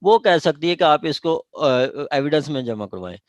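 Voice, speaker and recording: A man with a Pakistani accent explaining law as a lecturer, haltingly repeating and rephrasing his points and checking that students follow. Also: fairly high pitch, very animated, no room sound, studio quality.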